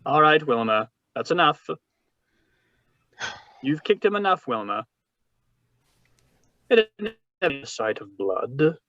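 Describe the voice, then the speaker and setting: A man's voice: on the high side, big pitch swings, takes it slowly, pauses often, pushed and bright, exaggerated voice. Man playing a pompous, scheming radio-drama crime boss, muffled. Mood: smug, commanding. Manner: pompous, florid, polite delivery. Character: suave, wordy, scheming older crime boss obsessed with treasure. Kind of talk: 1940s radio drama read-through. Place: remote read-through over a video call. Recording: muffled, dry video-call audio.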